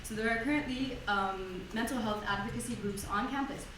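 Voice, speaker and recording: A woman's voice: fairly high pitch, fairly quick, noisy background. A young woman from Egypt, a student election candidate, answering in a chatty way with tag questions. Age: young adult. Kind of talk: debate answer. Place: university lecture hall. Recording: echoey lecture hall, distant mic.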